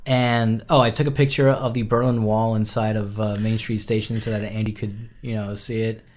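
A man's voice: fairly low pitch, flat delivery, moderate pace, darkish tone. A man co-hosting a casual chat, rambling with wry asides. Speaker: co-host.

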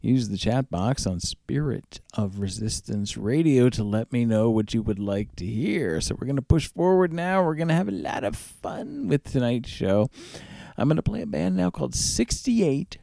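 Male music-show host, mellow and creaky, casual patter with emphasis on names, dry close sound. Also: mid-range voice, expressive, normal speed, dry recording. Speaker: radio DJ hosting a music show. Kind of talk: casual radio DJ patter between songs.

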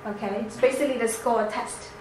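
A woman with a Chinese accent giving a seminar presentation, halting with many restarts and fillers. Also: fairly high pitch, steady tone, darkish tone. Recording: echoey room, faint background noise.

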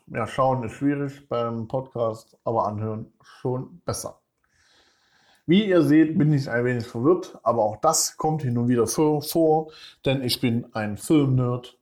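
A man's voice: mid-range voice, a little mellow. A man hosting a casual film news podcast, rambling with many filler sounds and stopping to correct himself. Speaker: podcast host.

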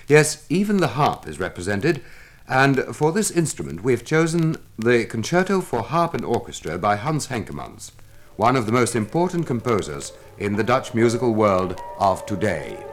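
A man giving a formal, measured scripted narration as an announcer, recorded on a 1950s radio transcription disc. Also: mid-range voice. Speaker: announcer of a classical music demonstration record.

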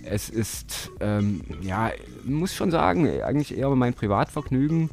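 A man's voice: medium pitch, very animated, unhurried, gravelly, a little mellow. A man from Dresden, talking casually in an interview at home, hesitant with many fillers and frequent laughs. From Dresden, Germany. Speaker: interview guest, modular synth hobbyist. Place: his living room at home.

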